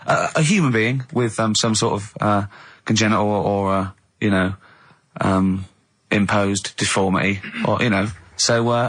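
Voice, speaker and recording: A man's voice: fairly low pitch, a bit bright, no room sound. A man hosting a radio show, bantering and acting out comic quotes in a teasing, argumentative way. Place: radio studio.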